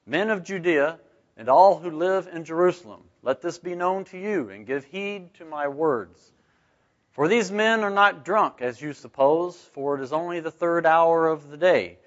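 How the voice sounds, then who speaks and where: Male Bible teacher, slightly high voice, informal and questioning delivery, slightly muffled lo-fi sound. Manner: conversational, rhetorical questions, asks "right?". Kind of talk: informal scripture teaching to a group. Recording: dull, muffled lo-fi recording.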